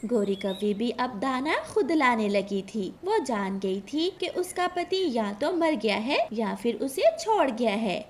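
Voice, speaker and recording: A woman's voice: fairly high pitch, lively intonation, a little slow, darkish tone, a little hiss or hum. A woman narrator reading a scripted cartoon story for children in Hindi.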